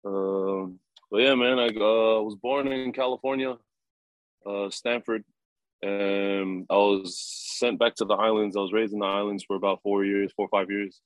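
A young man in his twenties, a rugby player answering interview questions in a relaxed, halting way with many fillers and chuckles. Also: on the low side, dry recording, rough recording. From New Zealand. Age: 20s.

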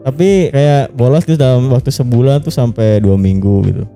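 A man's voice: mid-range voice, normal speed, mellow. A young man from Java hosting a podcast in casual slang, teasing, joking and swearing freely. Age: young adult. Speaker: podcast host. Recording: music playing behind the voice. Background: faint music.

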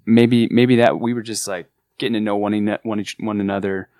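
A man's voice: lowish voice, fairly even intonation, fairly quick, darkish tone, no room sound. A man from California with a laid-back surfer accent, chatting earnestly on a podcast as an artist manager. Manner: earnest, enthusiastic, with frequent restarts. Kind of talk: casual podcast chat.

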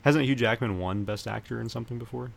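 A man's voice: medium pitch, quick pace, gravelly. A man hosting a podcast, chatting casually about films, with faint background noise. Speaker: podcast host.

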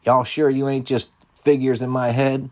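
A man's voice: medium pitch, level tone, moderate pace, voice pushed up, close to an everyday voice. A man voicing a kind, folksy woman cashier in an audiobook story, speaking with homespun turns of phrase. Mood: warm, wondering.

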